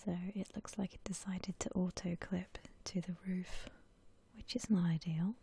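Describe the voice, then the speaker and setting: Woman, breathy unvoiced whisper, dark soft tone, slow pace, gentle musing, ASMR gaming commentary. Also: on the low side, fairly even intonation. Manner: gentle, unhurried, musing aloud. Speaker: host of a gaming ASMR channel.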